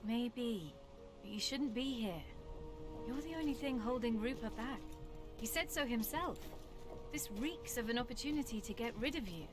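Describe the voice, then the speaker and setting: An actress playing a blunt, protective, uneasy soldier companion in a video game. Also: female voice, a little high, normal speed, lightly acted. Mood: worried, suspicious. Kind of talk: video game dialogue acting. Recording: music behind.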